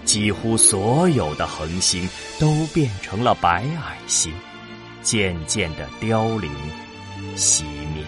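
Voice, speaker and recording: Male narrator for sci-fi radio drama. Deep, solemn, deliberate delivery with wide dramatic swings. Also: expressive, over music. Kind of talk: dramatic scripted science fiction narration.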